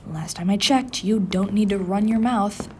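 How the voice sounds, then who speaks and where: A man's voice: moderate pace, natural voice. A man playing a prickly, flustered office worker who hides a crush, stammering and grumbling defensively in a tsundere roleplay addressed to the listener. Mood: defensive, snappy. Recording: faint rumbling office and city background noise.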